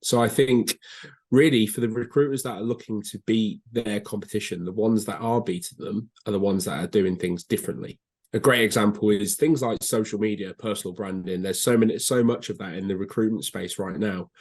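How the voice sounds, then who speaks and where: Lowish-voiced man giving expert commentary. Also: fairly even intonation, brisk, a little mellow, slightly rough. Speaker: recruitment software expert, guest.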